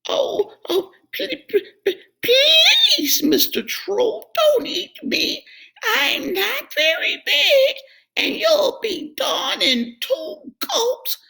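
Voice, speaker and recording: A woman voicing a frightened young male goat who stammers and pleads in a very high voice. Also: takes it slowly, vocal fry, hardly any pauses, a bit bright, full character voice, rough recording. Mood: pleading.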